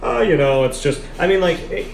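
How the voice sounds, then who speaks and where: A man's voice: on the high side, lively intonation, brisk, gravelly. A man hosting a live sports talk, speaking emphatically and repeating his points for stress. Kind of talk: live casual sports discussion. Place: café. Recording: echoey café with background noise.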